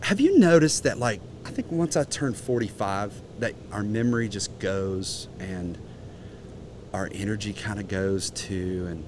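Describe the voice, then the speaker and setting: A man's voice: on the low side, measured pace, noisy background. A man in his forties, a guest in a casual interview, talking earnestly with many restarts. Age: 40s. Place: outdoor conference grounds.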